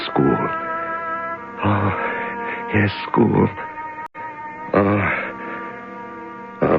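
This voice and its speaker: A dull old radio recording of a desperate prisoner alone in the dark. His gravelly voice swings wildly in pitch as he talks to himself. He repeats himself haltingly, fearful and fraught, in old-time radio drama style. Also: full character voice. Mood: weary, rambling. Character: frightened prisoner in solitary darkness, desperate, talking to himself.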